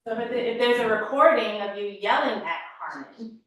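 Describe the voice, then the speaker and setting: A woman's voice: on the high side, animated, moderate pace, a bit bright. A woman prosecutor cross-examining a witness in court with pointed, leading questions. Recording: very echoey courtroom audio.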